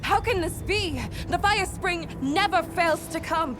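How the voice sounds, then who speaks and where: A woman's voice: very high-pitched, measured pace. A woman playing a headstrong, passionate young village woman in a game. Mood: indignant disbelief. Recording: music under the voice.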